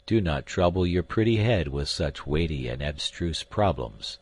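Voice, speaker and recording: A man voicing an absent-minded elderly professor who speaks softly and slowly, muttering to himself. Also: extremely low pitch, lively intonation, no room sound, exaggerated voice. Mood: mild, muttering. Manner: soft, slow, mumbling to himself.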